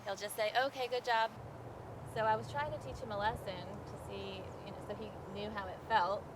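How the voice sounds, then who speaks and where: A woman speaks in a casual interview manner, recounting things conversationally. Her pitch moves very little, staying flat and even. There is faint ambient noise behind her voice. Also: brisk. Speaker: fossil hunter. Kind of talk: casual documentary interview storytelling.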